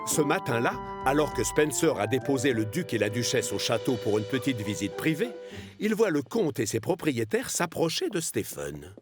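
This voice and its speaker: A man narrates a children's cartoon story in an expressive storytelling style. His voice is mid-pitched, with music playing clearly behind it. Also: normal speed.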